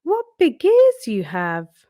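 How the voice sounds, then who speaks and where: A woman's voice: a little high, wildly swooping pitch, slow pace, dark tone, dry recording, studio quality, voice pushed up, full character voice. A woman voicing an innocent, trusting and polite little girl in a children's storybook. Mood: astonished. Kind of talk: storybook read-aloud character.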